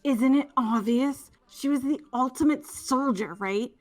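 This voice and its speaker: Woman voicing a timid stammering teen girl for a fan game dub; high voice, slow delivery. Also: soft background music. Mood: defensive. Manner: stammering, defensive. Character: nervous stuttering teenage schoolgirl.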